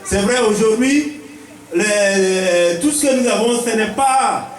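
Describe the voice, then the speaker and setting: High-voiced Ivorian man preaching, echoey. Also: slow pace, a little hiss or hum. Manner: didactic, repeats key phrases for emphasis. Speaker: village chief giving a welcome address. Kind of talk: preaching-style welcome speech. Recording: echoey, picked up from a distance.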